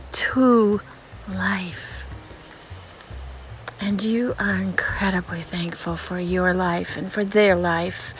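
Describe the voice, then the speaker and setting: Woman, guided meditation, unhurried and soothing, middle pitch, whispery at times, faint music underneath. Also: low-quality recording. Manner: slow, soothing, often sinks to a whisper; repetitive, spiritual phrasing.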